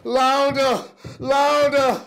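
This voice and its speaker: A man in his sixties playing a guilt-ridden, mad murderer in a theatrical gothic horror reading, halting and repeating words, agitated and declamatory. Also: very high-pitched, level tone, a bit bright, voice pushed up, heavily acted. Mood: frenzied, raving. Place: small indoor room in an old house, live audience.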